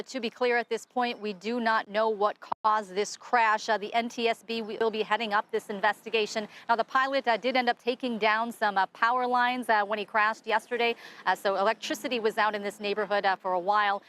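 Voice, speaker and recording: A woman's voice: medium pitch, fairly even intonation, a little fast, fairly bright tone, slight background noise. A woman with an American accent giving a live TV news report as a field reporter. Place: outdoors on a residential street.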